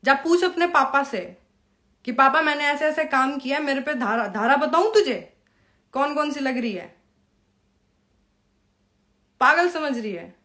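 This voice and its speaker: A high-pitched woman speaks in a confrontational, scolding rant aimed directly at someone. She taunts with sharp rhetorical questions and lectures as she goes. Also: animated, measured pace, pauses often. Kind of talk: angry direct-address rant to an opponent.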